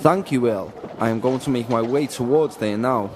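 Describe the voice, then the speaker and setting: A man's voice: medium pitch, normal speed, noisy background, natural voice. A man playing a formal, conscientious 17th-century English builder and slave owner who is troubled, in a radio drama with reflective narration. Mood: polite. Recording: music bed behind the voice.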